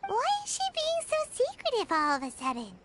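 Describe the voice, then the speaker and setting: Casting: chirpy little fairy-like game companion, piercingly high, animated, blunt and nosy. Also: female voice, fairly quick, heavy background noise, exaggerated voice. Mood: curious. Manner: squeaky, blunt, inquisitive. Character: tiny childlike floating companion, chirpy and curious. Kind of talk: video game voice acting.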